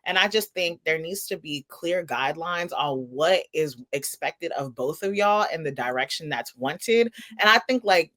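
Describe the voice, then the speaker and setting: A woman's voice: low-pitched, expressive, normal speed, hardly any pauses, fairly bright tone. A woman co-hosting a talk podcast, giving casual, opinionated entertainment commentary in informal, slangy, emphatic phrasing. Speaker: podcast co-host.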